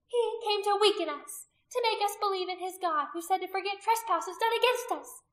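A very high, loud, piercing female voice for a zealous pagan high priestess. She declaims in imperious, accusing phrases, full of venom, as in a dramatic audiobook. The sound is roomy. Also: moderate pace. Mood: fiery, disdainful. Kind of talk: dramatic audiobook character acting.

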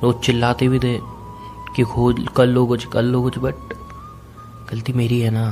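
A man's voice: mid-range voice, monotone, measured pace, darkish tone. A young man in an emotional private phone conversation, speaking in a pleading, insistent way. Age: young adult. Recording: phone call recording with music. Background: music.

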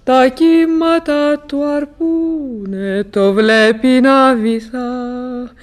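An actress playing a young maiden who sings a wistful old ballad gently in a radio play. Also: female voice, sits high, faint music behind, lightly acted. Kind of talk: sung ballad in radio drama.

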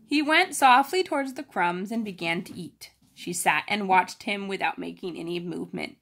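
Woman, medium pitch, storybook reader with expressive emphasis and an explanatory manner. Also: moderate pace, creaky. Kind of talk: reading a children's story aloud with informal explanations.

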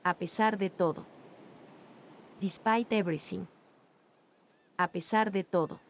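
A woman reading Spanish and English phrases slowly, one at a time, for language learners. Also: medium pitch, a little hiss or hum. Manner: reads each phrase slowly with long pauses. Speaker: language teacher. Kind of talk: slow repeated phrase drills.

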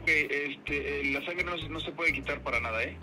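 A man's voice: fairly high pitch, moderate pace, bright, forceful tone. A man with a Mexican accent on a phone call with a customer, polite and hesitant, often repeating himself. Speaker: furniture cleaning service worker. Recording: phone call with music behind.

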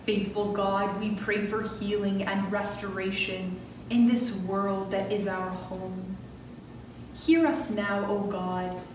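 A middle-aged woman minister leading a scripted prayer in a measured, solemn way, phrase by phrase, from a church pulpit. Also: mid-range voice. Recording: roomy distant church recording with clear noise.

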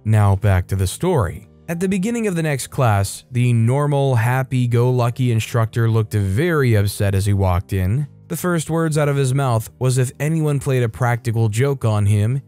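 Man, mid-pitched, warm dark tone, matter-of-fact story reading, soft music underneath. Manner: steady, matter-of-fact reading with light asides. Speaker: story narrator. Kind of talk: scripted narration of reader stories. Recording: faint background music.

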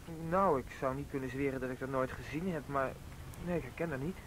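A man playing a calm, careful, polite seventeen-year-old schoolboy in a radio drama. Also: medium pitch, brisk, natural voice. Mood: polite, guarded. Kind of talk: Dutch radio drama acting. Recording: noisy vintage radio play recording.

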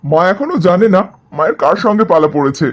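A man's voice: high voice, muffled recording, exaggerated voice. A man playing an easygoing, affectionate young husband in a cartoon drama, coaxing and explaining. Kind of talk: animated cartoon drama dialogue.

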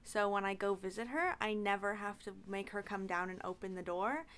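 A woman's voice: medium pitch, fairly quick, fairly bright tone. A young adult woman chatting casually as a guest on a friends' podcast. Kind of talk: casual chat.

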